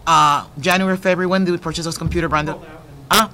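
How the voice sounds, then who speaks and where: Guy walking the board through budget numbers, slightly high voice, bit of hiss. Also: steady tone, quick pace, bright, forceful tone. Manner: explanatory, repeats points, some broken phrasing. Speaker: school superintendent. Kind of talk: budget discussion at a board meeting. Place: public meeting room.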